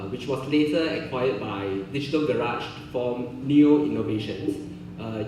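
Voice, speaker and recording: A man from Singapore, giving a friendly, halting conference talk full of filler "uh"s in a large conference hall. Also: medium pitch. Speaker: conference speaker. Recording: very echoey hall, from far, noisy.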